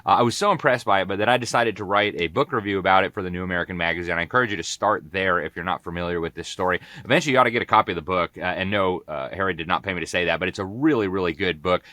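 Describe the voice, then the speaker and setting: A man's voice: low voice, very fast, hardly any pauses, pushed and bright, dry recording. A man hosting an interview, enthusiastic and hesitant with many filler sounds. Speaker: host.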